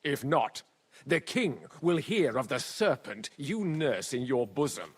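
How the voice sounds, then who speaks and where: An actor playing a pompous, mock-polite and menacing royal official, a villain in a medieval video game. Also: male voice, mid-range voice, very animated, measured pace, husky. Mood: threatening. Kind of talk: dramatic video game acting.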